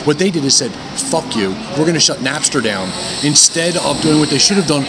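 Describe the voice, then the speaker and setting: A loud, boisterous older man co-hosting a casual chat, blunt and in-your-face, recorded outdoors at a convention with noise behind him. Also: a little high, lively intonation, brisk. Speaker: podcast co-host.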